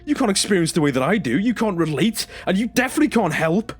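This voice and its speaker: A man's voice: high-pitched, big pitch swings, fast, husky, soft background music, heavily acted. A man playing a traumatised, confrontational former stasis patient in an audio drama, speaking emphatically and demanding accountability. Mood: agitated, distressed.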